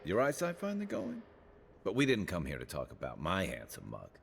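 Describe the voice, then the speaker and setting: Casting: cheeky reptilian fantasy game companion, very low voice with fry, wry teasing. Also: male voice, wildly swooping pitch, a little fast, exaggerated voice. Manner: bantering, wry. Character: scaly, teasing male adventurer, cheeky old friend. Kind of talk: fantasy video game acting.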